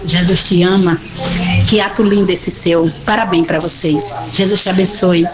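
A lowish female voice calling in to a radio show, gently consoling the host with religious words. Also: fairly even intonation, normal speed, crowd noise. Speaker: caller.

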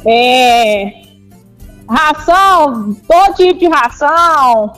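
A woman's voice: sits high, lively intonation, drawn-out words, a bit bright, faint music behind, rough recording. A woman from Goiás with a Goiás accent, a store representative talking in a casual rural way as a radio guest. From Goiás, Brazil. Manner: rural colloquial speech.

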